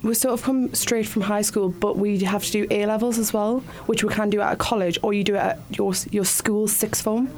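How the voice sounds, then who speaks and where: A woman's voice: mid-range voice, steady tone, a little fast, hardly any pauses, a little mellow. Young Geordie woman, giggly and easygoing. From Newcastle, England. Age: 20s. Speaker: law student guest. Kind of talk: interview answers. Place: radio studio.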